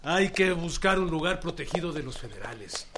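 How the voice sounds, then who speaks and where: An actor playing a resolute, proud rural Mexican revolutionary general in a radio drama, speaking plainly with folksy country turns and a Mexican accent. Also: male voice, on the high side, lively intonation, normal speed, very noisy.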